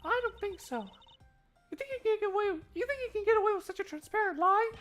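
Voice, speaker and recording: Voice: very high-pitched, lively intonation, quick pace, fairly bright tone, pitched above the performer's own voice. A performer voicing a scornful, combative accuser in a game fandub, mocking and insistent. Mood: excited, accusing. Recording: music behind the voice.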